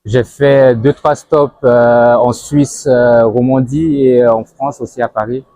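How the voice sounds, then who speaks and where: A man's voice: medium pitch, steady tone, takes it slowly, mellow, no echo. A young man giving casual interview answers, piecing them together with hesitations. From Zurich, Switzerland. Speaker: interviewee, banker and model.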